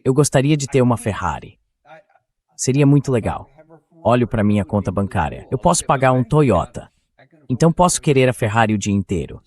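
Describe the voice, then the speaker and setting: A man's voice: mid-range voice, very animated, brisk, a little mellow, husky. A man as host, reading a translated voice-over of a political interview in conversational, argumentative sentences.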